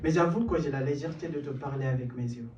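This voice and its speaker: A young man performs spoken-word verse in French, learned on top of his English, rising into rhythmic, building lines between self-deprecating asides. His voice sits at an ordinary middle pitch. The stage mic catches him from a distance, and a roomy live echo hangs around every phrase. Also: fast, dull audio, noisy background. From Kenya. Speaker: performance poet. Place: small stage in a cellar venue.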